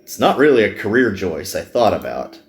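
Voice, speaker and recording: A man's voice: fairly low pitch, sing-song, normal speed, faint music behind. A man reading a reluctant, self-deprecating young male magician recruit from a visual novel, dry and downbeat. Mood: gloomy, wry.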